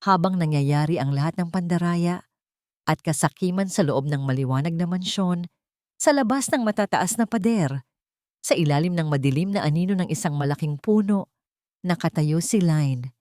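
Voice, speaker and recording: A woman narrates a scripted drama in a rather low voice. Her delivery is deliberate and melodramatic, and the recording is dry and close, free of room sound. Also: animated, a little mellow, dry recording.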